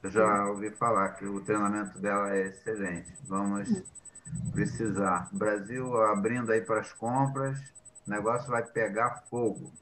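A man's voice: fairly low pitch, steady tone, normal speed, a bit bright, a little hiss or hum, rough recording. An older man, cheerfully hosting a casual video call from home. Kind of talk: casual chat. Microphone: laptop mic on video call.

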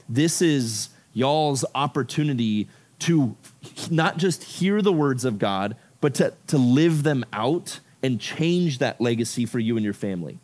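A man from Ecuador giving a youth sermon to a room of about fifty teens. He talks casually and keeps dropping in "like" and "right?". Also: a little high. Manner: casual, animated, filler-heavy with frequent "like" and "right?". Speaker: youth pastor.

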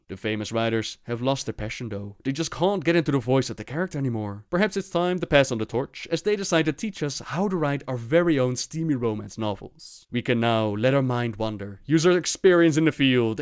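Male storyteller, animated intonation, theatrical scripted narration, dry close recording. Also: mid-range voice, no room sound. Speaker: host and storyteller.

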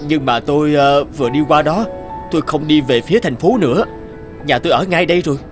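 A man's voice: a little high, fairly quick, lightly acted. A man playing a kind, modest, apologetic working father in a dubbed cartoon, with music behind him. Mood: helpful.

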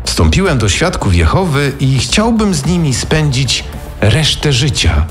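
A whining self-pitying killer. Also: male voice, medium pitch, big pitch swings, a little slow. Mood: pleading. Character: middle-aged male killer, sullen and self-pitying. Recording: radio drama with music behind.

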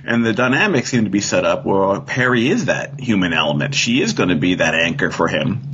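A man's voice: low-pitched, animated, a little fast, background noise. A man chatting casually as a podcast guest, offering opinions in a hesitant, hedging way over slightly dull audio.

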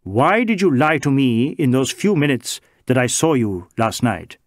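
A man voicing a stern male police inspector who presses questions sharply and deliberately. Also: mid-range voice, expressive, no room sound, clean studio sound, close to an everyday voice. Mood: accusing.

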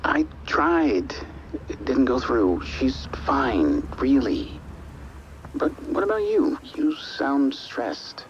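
An actor playing an easygoing husband and father in a video game, reassuring and gently teasing, with a creaky voice. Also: mid-range voice, huge pitch swings, slow pace, dull audio. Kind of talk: video game drama acting. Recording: faint background ambience noise.

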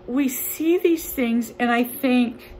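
An older woman pastor delivers a home-recorded sermon in a slow, unhurried, conversational way. Her voice sits a little high, and a faint hum of room ambience lies behind her. She talks close to a phone camera in her living room. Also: a little mellow. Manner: slow, earnest and conversational, with fillers. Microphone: phone or webcam close up.

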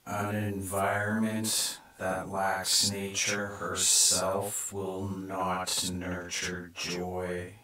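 A man narrating a scripted guided meditation in a measured, deliberate and solemn manner. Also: deep voice, monotone, bright, forceful tone. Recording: echoing, distant from the mic.

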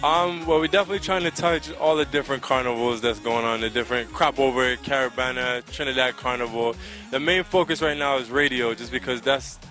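Male interviewee, American accent, bright mid-pitched voice, conversational, faint music underneath. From Connecticut, United States. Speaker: soca singer being interviewed. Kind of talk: casual interview answers. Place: outdoors by a riverside. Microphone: handheld microphone held close to the mouth.

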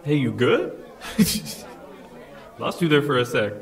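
An actor playing a friendly, talkative special ops veteran with a jovial, informal manner in an audio drama. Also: male voice, a little high, big pitch swings, slow, a loud crowd, very noisy.

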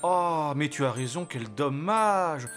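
A man's voice: fairly high pitch, animated, slow, a bit bright, soft background music. A man voicing an easygoing father donkey in a cartoon, speaking with playful regret. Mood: mock regret. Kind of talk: cartoon voice acting.

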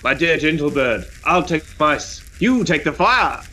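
Guy doing a tiny gallant adventurer critter, flowery and pompous, higher sharp voice, tabletop game. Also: very animated, moderate pace, full character voice. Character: pompous, excitable little male animal swashbuckling adventurer.

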